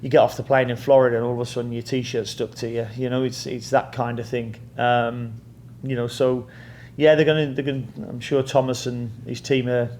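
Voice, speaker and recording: A man's voice: medium pitch, flat delivery, a little fast, hardly any pauses, darkish tone, slight background noise. A middle-aged man with an English accent, a head coach answering press questions in a plain-spoken way, often repeating words and saying "you know" as he thinks.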